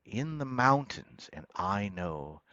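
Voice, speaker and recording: A man recites verse with theatrical weight in a lowish voice. He takes it very slowly, declaiming with big swells and letting phrases sink away quietly. The recording is dry and slightly dull. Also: sing-song, dry recording. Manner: declamatory, swelling from hushed line endings to loud proclamations. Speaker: poetry reader. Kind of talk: dramatic poetry recitation.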